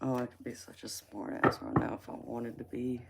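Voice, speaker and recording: A woman's voice: extremely low pitch, little pitch movement, brisk, creaky, noisy background. A woman in her forties with a slight Southern American twang, chatting casually with viewers on a livestream and calling them baby and honey. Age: 40s. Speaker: tarot reader answering live chat.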